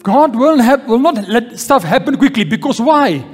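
A man's voice: very high-pitched, animated, moderate pace. A man pastor preaching a sermon and reading scripture, calling out "Amen?" to the congregation and repeating key phrases. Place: church hall. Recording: long church-hall reverb with faint music.

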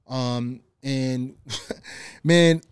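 A man, a podcast guest talking in a loose, slangy, animated way. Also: mid-range voice, drawn-out words, clear, resonant tone, no room sound. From Guyana. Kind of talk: casual conversation.

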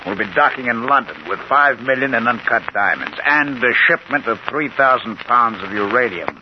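Actor as animated scheming criminal ringleader, old radio. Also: male voice, medium pitch, normal speed, natural voice. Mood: confident, calculating. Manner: insistent, persuasive, methodical. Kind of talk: old-time radio crime drama acting. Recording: noisy, dull old radio recording.

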